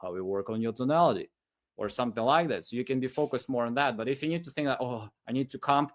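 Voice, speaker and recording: A man with non-native English talks off the cuff, coaching listeners on sales. He circles back and repeats himself as he goes, leaning on his points with emphasis. His voice sits at an ordinary male pitch. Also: fast. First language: non-English first language. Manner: rambling, repetitive, emphatic. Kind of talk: unscripted talk giving sales advice.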